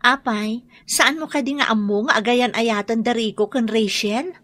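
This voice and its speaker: A woman's voice: a little high, steady tone, moderate pace, a bit bright, dry recording, lightly acted. An actress playing a chatty, teasing young woman in a radio drama, with an Ilocano accent.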